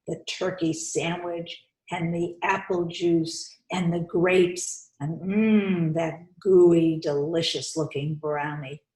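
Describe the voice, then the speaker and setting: Unhurried woman reading to children, roomy echo. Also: lowish voice. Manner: expressive, coaxing, emphatic, pauses to question listeners. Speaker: children's author reading aloud. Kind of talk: interactive storybook read-aloud for young children. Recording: roomy, echoey sound.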